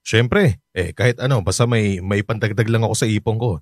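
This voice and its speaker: An actor playing a humble father who leaves his family to work abroad, speaking softly and earnestly in a radio drama. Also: on the low side, fairly quick, no echo, studio quality, lightly acted. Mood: friendly. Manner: soft, earnest, gentle. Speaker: overseas worker, father.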